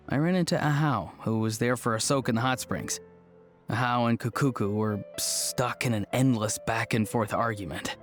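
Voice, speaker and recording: A man playing an easygoing young villager who tells stories in a relaxed, dryly amused way. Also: medium pitch, close to an everyday voice.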